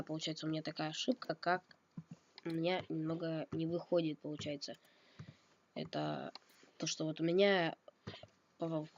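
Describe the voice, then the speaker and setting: A slightly ill man giving a casual game tutorial, thinking aloud and correcting himself, coughing now and then. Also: a little high. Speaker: game tutorial video maker. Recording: dull lo-fi mic with noise. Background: keyboard and mouse clicks.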